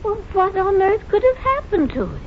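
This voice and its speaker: A woman's voice: extremely high pitch, big pitch swings, normal speed, narrow, tinny audio, a little hiss or hum. An actress playing a kindly, trusting, easily flustered old grandmother in folksy 1940s radio drama style, on an old radio recording. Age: older. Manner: folksy, dismissive, fussing.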